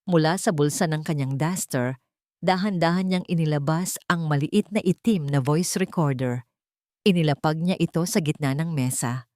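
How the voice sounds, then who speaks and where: A woman's voice: low voice, normal speed, darkish tone, no echo, studio quality. A woman delivering dramatic scripted story narration.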